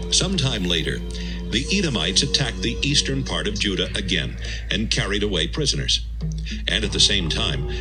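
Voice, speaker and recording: Expressive low-voiced man narrating scripture. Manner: measured, solemn storytelling. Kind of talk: scripted dramatised Bible narration. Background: music.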